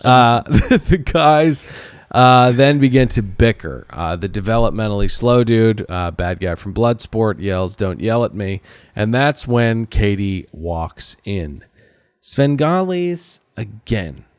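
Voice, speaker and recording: Male podcast co-host, lower register, clean smooth tone, sardonic and conversational. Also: animated, slow pace, no echo. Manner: sarcastic, mocking. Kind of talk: casual comedic TV recap chat.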